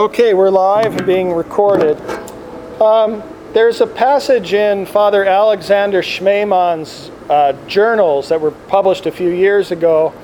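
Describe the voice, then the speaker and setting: A man giving an informal religious talk to a gathering as a priest, reflective and halting, speaking up to be heard. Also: high voice, a little mellow, low-quality recording. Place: indoor room with air conditioning. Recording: clear background air conditioner noise. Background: air conditioner noise.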